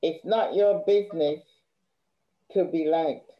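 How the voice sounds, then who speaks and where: A woman's voice: deep voice, slow. An older woman with a Caribbean accent reading a stern, matter-of-fact, bookish elder sister in a play, over a video call. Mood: stern, dry. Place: indoor room at home, on video call. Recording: dull video call audio.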